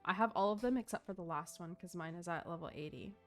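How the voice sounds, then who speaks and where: A woman's voice: on the low side, fast talker, faint music behind. A woman giving casual live stream commentary on a game, chatting in a gushing, giggly, informal way.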